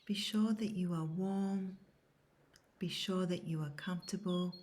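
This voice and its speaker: A woman with a low, mellow voice leads a guided meditation. She speaks very softly and breathily, close to a whisper. Her delivery is calm, soothing and unhurried, with long, measured instructions. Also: a little hiss or hum. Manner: soft, breathy, hushed, near-whispered delivery. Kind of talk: guided yoga nidra meditation, scripted.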